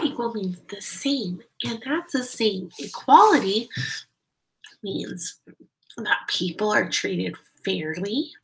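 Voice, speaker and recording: A woman teaches kindergarteners in a read-aloud style. Her pace is very slow and deliberate, with a noticeably creaky edge to a medium-pitched voice. She phrases things simply and emphatically, guiding young listeners along. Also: a bit bright, rough recording. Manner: simple explanations with guiding questions addressed to young children. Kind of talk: children's read-aloud lesson.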